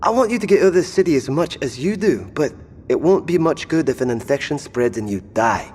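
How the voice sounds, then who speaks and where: An actor playing a caring, gruff male survivor tending a wounded companion, wry and concerned, in an audio drama. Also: a little high, expressive, fairly quick, darkish tone, lightly acted. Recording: reverberant room, faint noise.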